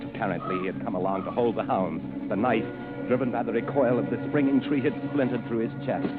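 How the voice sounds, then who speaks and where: A man's voice: low voice, lightly acted. An actor playing a desperate but resolute hunted man, narrating his ordeal with dramatic urgency in a vintage radio suspense drama. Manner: intense, dramatic, breathless urgency with clipped phrases. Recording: tinny old radio broadcast, loud music behind.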